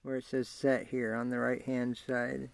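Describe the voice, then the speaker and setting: A man talking through a hands-on tutorial in a casual, halting way, thinking aloud as he works. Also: mid-range voice, level tone. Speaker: amateur how-to demonstrator. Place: inside a parked vehicle. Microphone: handheld camera mic. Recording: clearly noisy amateur camera audio, clicks.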